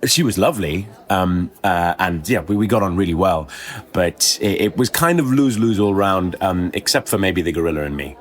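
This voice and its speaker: A man telling a personal story as a podcast guest, conversational, with a hesitant, halting delivery. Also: low voice, animated. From born in Kuwait, moved around the world. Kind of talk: conversational anecdote.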